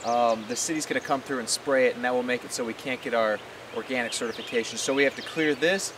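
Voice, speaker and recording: Noisy outdoor recording with hiss, man in his thirties, mid-pitched voice, plain-spoken, casual farm vlog explanation. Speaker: farmer, vlog host. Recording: clear background noise, hiss.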